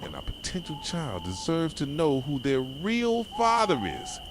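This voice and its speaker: A man playing an obsessive, possessive boyfriend in an ASMR roleplay, speaking softly and intensely straight to his partner. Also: on the high side, huge pitch swings, unhurried, noisy background. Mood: controlling, demanding. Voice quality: soft voice. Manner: intense, pleading yet controlling, addressing a partner directly. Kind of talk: ASMR roleplay, dramatic monologue. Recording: nighttime cricket and owl ambience behind. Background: crickets, insects and hooting owls.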